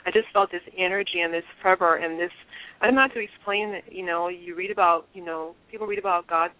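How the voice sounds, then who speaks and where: A woman's voice: fairly low pitch, fairly even intonation, fast talker. A woman from Liberia, an interview guest, telling her own story in an earnest and reflective way. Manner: earnest, reflective, with frequent restarts. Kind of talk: personal testimony in an interview. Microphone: telephone. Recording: tinny dry phone line.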